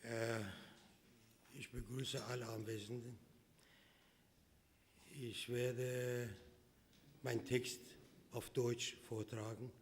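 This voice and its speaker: A man whose first language is Persian, from Iran, reading a prepared speech at a conference in slow, measured phrases. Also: mid-range voice, level tone, slight background noise. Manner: slow, measured delivery with pauses between phrases. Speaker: conference speaker. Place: conference hall. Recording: reverberant hall recording.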